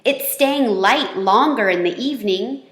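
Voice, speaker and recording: A woman's voice: on the high side, measured pace, a bit bright, reverberant. A woman voicing a curious, chatty young schoolchild in a storybook, simple and childlike.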